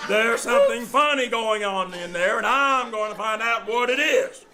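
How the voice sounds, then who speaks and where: An actor playing a stern, suspicious school official, insistent and authoritative, in old-time radio comedy style. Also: male voice, very high-pitched, moderate pace, noisy background. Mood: insistent, probing. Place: live on a small theater stage.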